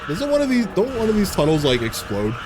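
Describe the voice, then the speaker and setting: A man in his thirties, a game streamer giving casual, excitable, jokey commentary while playing and laughing often. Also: high voice, a little fast, music in the background. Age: 30s. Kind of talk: casual live gameplay commentary.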